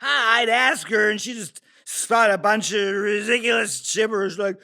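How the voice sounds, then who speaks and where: A man playing a crude, bitter, drunken father making excuses in a halting, rambling table-read monologue. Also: high voice, vocal fry, bright, forceful tone, exaggerated voice. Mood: rambling, resentful. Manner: slurred, halting drunken delivery with rambling asides.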